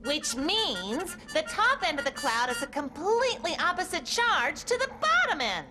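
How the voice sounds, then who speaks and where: A woman's voice: high-pitched, very animated, moderate pace, over music. A woman voicing a reassuring, know-it-all cartoon character who explains things in a matter-of-fact way.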